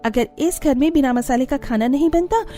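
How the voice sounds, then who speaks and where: A woman's voice: high voice, moderate pace, mellow, soft background music, close to an everyday voice. A woman voicing an earnest, respectful young daughter-in-law in a cartoon family story. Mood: affectionate.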